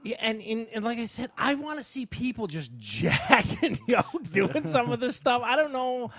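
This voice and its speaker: A man's voice: very high voice, big pitch swings, moderate pace, fairly bright tone. A man co-hosting a casual sports and entertainment podcast, talking in an enthusiastic, emphatic, rambling way. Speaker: podcast co-host.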